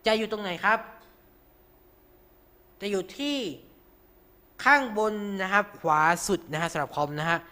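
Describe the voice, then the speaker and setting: A man's voice: high voice, animated, unhurried, long pauses, bright, forceful tone. A man hosting an informal tutorial, talking through each step with frequent polite particles. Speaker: radio show host.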